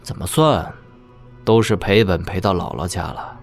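An actor playing a roguish young prince's heir in a wuxia audio drama, with a flippant, teasing manner. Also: male voice, sits low, moderate pace, vocal fry, soft background music. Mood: flippant bravado.

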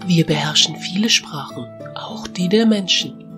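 A deep-voiced alpha female creature of a fantasy forest, played by a woman. She is noble and sure of herself, instructing calmly and with gentle warning. Music plays clearly underneath. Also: measured pace, natural voice. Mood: calm, wise. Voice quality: deep, feminine. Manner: calm, wise, gently admonishing. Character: noble wise alpha female talking forest beast, self-assured. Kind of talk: German fantasy audio drama.

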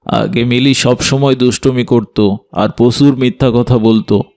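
Casting: male actor as stern, fair-minded grown-up for kids' cartoon, medium pitch, measured moralizing delivery. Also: steady tone, gravelly, darkish tone. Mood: approving. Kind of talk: children's cartoon voice acting.

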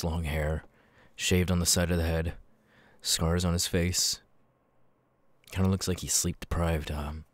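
A man voicing a weary, homeless young ex-convict with tics, halting and stammering in an ASMR audio roleplay. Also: sits low, dry recording. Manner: halting, stammering, with abrupt repeated-word tics. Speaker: voice actor. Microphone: close microphone, dry.

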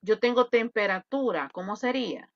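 A woman's voice: medium pitch, animated, moderate pace. A woman teaching an online English grammar class, explaining patiently and quizzing her students. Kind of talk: online grammar lesson in Spanish. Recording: dull, dry video-call audio.

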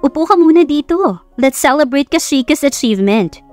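A woman's voice: sits high, expressive, moderate pace, darkish tone, faint music behind, voice pushed up. Woman doing a sassy, blunt young best friend. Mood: exasperated. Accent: Filipino. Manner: slangy, exasperated teasing.

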